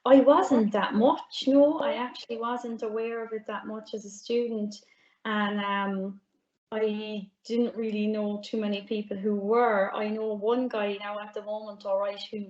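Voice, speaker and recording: A young Irish woman, a music PhD student, answering interview questions in a soft-spoken way with frequent ums. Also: mid-range voice, level tone, unhurried. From Ireland. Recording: roomy, dull remote audio.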